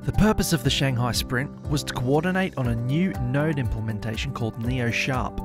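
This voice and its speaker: A man reading scripted narration in an even, measured way. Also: medium pitch. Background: guitar music.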